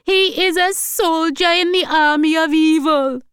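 Woman acting a devout, high-voiced, emphatic old grandmother. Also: animated, slow pace, clean tone, no echo, clean studio sound. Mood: outraged. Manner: solemn, preachy, emphatic. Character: devout, upset 85-year-old grandmother, warning dramatically.

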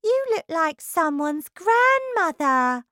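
A woman's voice: steady tone, slow, a bit bright, no room sound, clean studio sound, heavily acted. A woman reading a children's story, voicing a vain, hysterical mother who shrieks and wails. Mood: scornful. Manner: shrill, screaming and wailing.

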